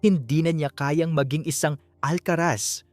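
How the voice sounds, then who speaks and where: Audiobook narrator telling a dramatic story, medium pitch, soft music behind. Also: steady tone, a little slow.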